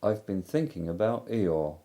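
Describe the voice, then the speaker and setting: A man voicing a cheerful, muddled and simple young bear in a storybook reading, slow and sing-song with humming. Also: fairly low pitch, expressive, mellow.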